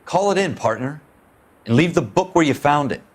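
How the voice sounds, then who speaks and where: A man's voice: a little high, lively intonation, normal speed, a little hiss or hum, natural voice. A man playing a cynical, tough patrol cop in a video game, talking in a wry, crude, street-wise way. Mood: cautioning, wry. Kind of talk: video game cutscene acting. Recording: music behind the voice.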